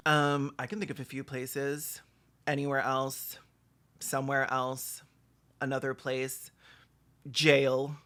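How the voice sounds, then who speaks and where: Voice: medium pitch, level tone, takes it slowly, long pauses, a bit bright. A person giving bawdy, sarcastic comedic commentary as the host of a reaction video, in a campy manner. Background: background music.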